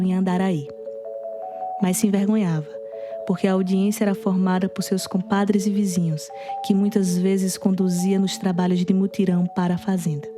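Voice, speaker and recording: A singer-songwriter woman reading a passage from a novel in a calm, measured way. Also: medium pitch. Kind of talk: literary reading.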